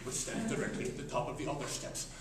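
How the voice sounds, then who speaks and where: A man voicing a noble, gruff lion-man prince with solemn, archaic speech in a radio-serial spoof. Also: fast, close to an everyday voice. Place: classroom, indoors. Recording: echoey classroom, distant noisy camera.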